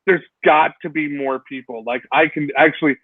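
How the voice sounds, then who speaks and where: A man co-hosting a casual gaming podcast, talking in an animated way full of "like" and restarts. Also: fairly high pitch, moderate pace, no echo. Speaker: podcast co-host. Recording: dry, tinny phone-like sound.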